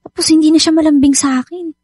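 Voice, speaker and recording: High-voiced, insistent wife played by an actress, Filipino accent. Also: female voice, fairly even intonation, quick pace, a little mellow, no echo, clean studio sound, natural voice. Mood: worried, frustrated. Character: hurt, suspicious wife and young mother, insistent. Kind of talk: radio drama acting.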